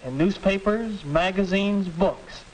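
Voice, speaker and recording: Hissy 40s film, a formal american student radio moderator, really high male voice. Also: slow, low-quality recording. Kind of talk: scripted 1940s radio announcing in a film. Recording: hissy old 1940s film soundtrack.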